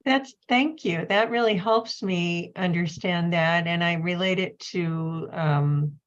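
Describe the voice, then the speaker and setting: A woman's voice: on the low side, slow. A woman moderating a panel conversation, reflective and a little hesitant, on a dull recording. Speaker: event moderator and poet.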